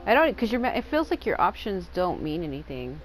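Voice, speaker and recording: A woman's voice: on the low side, sing-song, a little fast, low-quality recording. A woman giving casual, informal commentary as she plays a video game. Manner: informal, swears freely. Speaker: gaming video creator. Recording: game music behind the voice.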